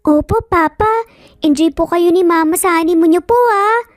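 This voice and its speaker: Woman voicing a very high-pitched cheerful little boy. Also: normal speed, no echo, studio quality, soft background music. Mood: cheerful, polite. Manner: polite, sweetly childlike, excitable. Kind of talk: dramatized storytelling.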